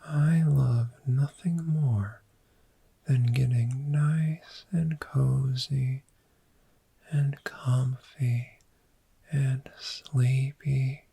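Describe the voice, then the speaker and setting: A man voicing a friendly, gentle dragon in a soft-spoken, soothing ASMR roleplay, with a breathy whisper and long pauses. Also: mid-range voice, dry recording, exaggerated voice. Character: friendly, gentle cave dragon fond of sleep.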